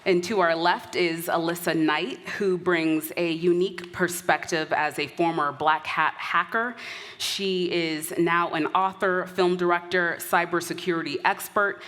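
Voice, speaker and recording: A woman's voice: lowish voice, fairly even intonation, a little slow, a bit bright. A woman host reading prepared introductions at a live event. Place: large event hall. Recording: hall reverb.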